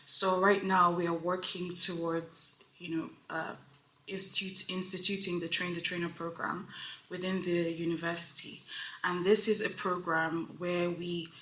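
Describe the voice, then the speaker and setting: Woman guest, low voice. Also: flat delivery, fairly bright tone. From Nigeria. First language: Igbo. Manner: measured and explanatory. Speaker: guest, open research adviser. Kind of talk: interview answers. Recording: roomy echo.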